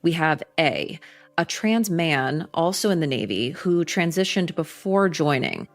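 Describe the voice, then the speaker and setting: A woman reporter giving a news story in a measured, deliberate way. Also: deep voice, lively intonation.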